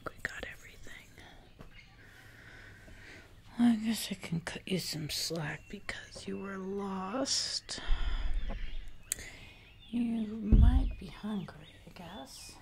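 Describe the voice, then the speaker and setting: A woman's voice: mid-range voice, slow pace, vocal fry, long pauses. A young woman playing a haughty, menacing jungle giantess queen in a whispered, condescending ASMR roleplay, close to the mic. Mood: stern, grudging. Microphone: close mic in front of camera. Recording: close mic with audible background noise.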